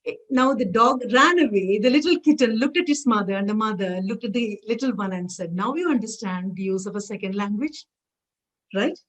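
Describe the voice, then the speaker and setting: A woman's voice: medium pitch, a little fast, poor audio. A woman with an Indian English accent, Tamil her first tongue, giving an online lecture and telling stories in an emphatic way, often repeating her phrases. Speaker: guest lecturer.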